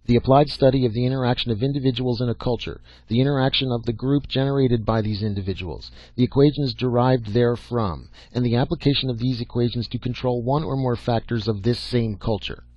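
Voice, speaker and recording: A man's voice: lowish voice, expressive, normal speed, a little mellow, breathy edge, no room sound. A man voicing an earnest young student in an audiobook, deferential and careful but a little prickly. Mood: rote reciting. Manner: hesitant, polite, careful. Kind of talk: audiobook character acting. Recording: dull lo-fi audio.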